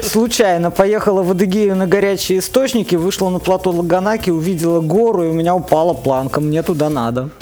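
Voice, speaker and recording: A woman's voice: on the low side, quick pace, few pauses, dark tone. A woman answering questions conversationally as an interview guest, speaking about her own experiences. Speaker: interview guest, solo motorcyclist and climber. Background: faint music.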